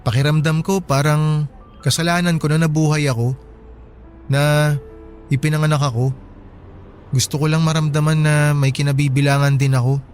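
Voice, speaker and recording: A man's voice: fairly high pitch, flat delivery, normal speed, long pauses, soft background music, close to an everyday voice. A man with a Filipino accent playing an earnest, wounded young half-brother who works as a porter in a radio drama. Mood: hurt, pleading. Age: young adult. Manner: pleading, gentle. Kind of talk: dramatized radio love story.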